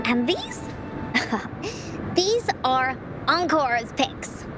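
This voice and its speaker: A woman voicing a cheerful, earnest little girl in a video game, sweet and childlike, with excitable, gushing delivery. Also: high-pitched, extremely slow, background noise, exaggerated voice. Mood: delighted, playful. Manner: excitable, gushing, with gasps and giggles. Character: cheerful, earnest little girl who adores her friends. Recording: clear music behind the voice. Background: game background music.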